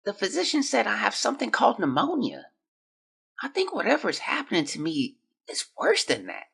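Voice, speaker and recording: An actor playing a gravely ill, weary young boy who haltingly reads out his diary in an audio drama. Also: medium pitch, huge pitch swings, a bit bright, full character voice. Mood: sick, drifting. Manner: weak, halting, drifting. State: feverish and exhausted.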